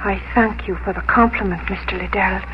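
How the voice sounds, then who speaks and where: Woman acting young farm daughter, medium pitch, composed, polite, earnest, old radio drama. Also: fairly even intonation, a little fast, close to an everyday voice. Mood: apologetic, gentle. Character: gentle, firm young unmarried farm daughter. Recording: noisy, muffled 1950s radio recording.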